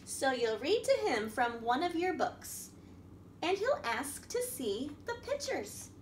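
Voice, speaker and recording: A middle-aged woman reading a picture book aloud as a children's storytime host, expressive and sing-song, talking directly to young children. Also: sits high, takes it slowly. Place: indoor room at a wooden table by a window. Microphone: camera across the table. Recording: echoey room with background noise.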